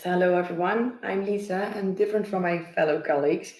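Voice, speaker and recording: A woman's voice: on the low side, fairly quick, a little mellow. A woman from the Netherlands, giving a light, easygoing self-introduction as a co-presenter. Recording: roomy echo.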